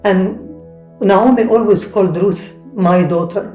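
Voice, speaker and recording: A woman tells a story in an animated way, quoting other people. Her voice is mellow and mid-pitched. The recording is roomy, with faint guitar music behind her. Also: moderate pace. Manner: animated storyteller, recounts scenes with quotes. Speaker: panel speaker. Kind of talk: storytelling conversation.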